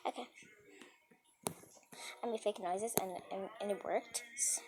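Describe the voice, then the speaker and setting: A woman's voice: mid-range voice, steady tone, a little slow, darkish tone, faint music behind. A woman casually telling an improvised story, rambling and repeating phrases as she dramatically retells who said what. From New York City, United States. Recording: noisy amateur home recording.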